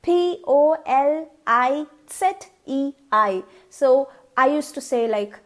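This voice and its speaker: A woman's voice: high voice, expressive, slow. A young woman from India with an Indian English accent, whose first language is Tamil, giving casual tips in a vlog and stopping often to act out how words sound. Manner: chatty, repeats words, acts out pronunciations. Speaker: vlogger.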